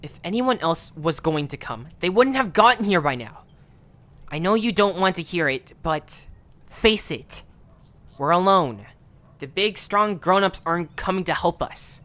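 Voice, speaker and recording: Male actor as proud, bossy young creature leader, blunt and impatient, with swooping, animated intonation for an audio story. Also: high-pitched, a little slow, exaggerated voice. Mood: blunt, scornful. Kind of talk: audio drama character acting.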